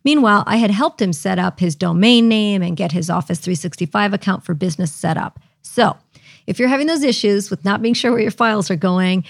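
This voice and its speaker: A woman hosting a podcast, explaining technology in a chatty, lively way. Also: medium pitch, a little fast, vocal fry, no echo, clean studio sound. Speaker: podcast host. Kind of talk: explaining tech casually.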